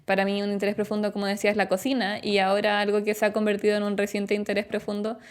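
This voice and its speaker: A woman's voice: medium pitch, monotone, rapid-fire, smooth. A woman in her late twenties with a Chilean accent, explaining things reflectively in a casual chat as a podcast guest. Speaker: podcast guest, clinical psychologist.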